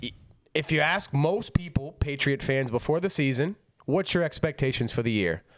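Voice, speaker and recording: A man's voice: a little high, animated, normal speed, no room sound. A man co-hosting a casual sports podcast who talks in a dry, matter-of-fact way as the straight man. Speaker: podcast co-host.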